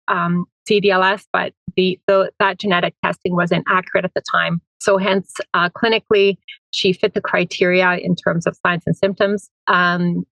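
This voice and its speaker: A middle-aged woman, a foundation founder, speaking in an interview and explaining at length with frequent pauses and "um"s. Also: lowish voice, creaky, no echo, highs cut off. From Finland. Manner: conversational, many "um"s, steady explaining. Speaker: foundation president and founder, guest. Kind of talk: interview conversation.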